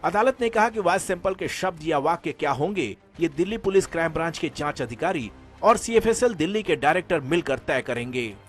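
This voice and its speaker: Male news reporter, higher-pitched. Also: lively intonation, fairly quick. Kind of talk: scripted news report narration. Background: background music.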